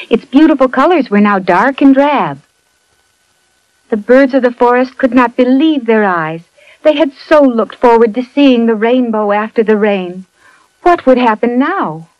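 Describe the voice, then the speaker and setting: A woman narrating a scripted children's fairy tale in an expressive storytelling manner. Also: on the high side, unhurried, no room sound. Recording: muffled lo-fi audio, faint music behind.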